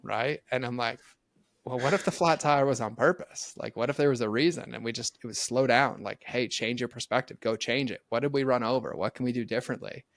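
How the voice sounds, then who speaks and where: A man's voice: mid-range voice, brisk, gravelly, no room sound. A middle-aged man talking earnestly and animatedly as a podcast guest, full of "like" fillers. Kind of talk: casual faith conversation.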